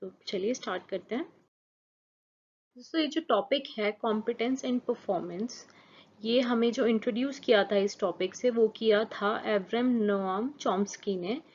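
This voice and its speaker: A woman's voice: on the high side, unhurried, a little mellow. Woman teaching, dull lo-fi audio. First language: Hindi. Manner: explains with rhetorical questions and repeats points. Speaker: channel host and teacher. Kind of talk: Hindi lecture on exam topics, reading English definitions slowly. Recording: dull lo-fi recording.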